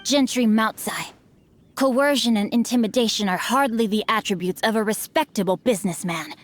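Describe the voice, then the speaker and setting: Casting: a woman as a polished young male noble, fairly high voice, archaic phrasing, sly humor. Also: normal speed, natural voice. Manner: formal, archaic wording, dry teasing wit. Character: witty bookish young noble heir, male, playful. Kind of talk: game cutscene voice acting.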